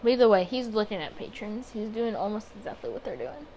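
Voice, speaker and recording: A woman with a mid-pitched voice commentates on a story game over audible background noise. She is chatty and expressive, moving between reading narration aloud and thinking through her reactions. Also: fast talker. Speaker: gaming video creator. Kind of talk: casual playthrough commentary mixed with reading story text aloud.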